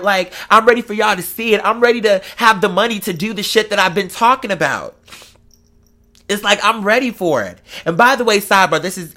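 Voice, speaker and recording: High, bright-voiced man, emphatic and slangy. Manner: rambling, digressive. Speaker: online show host. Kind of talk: casual confessional chat to followers.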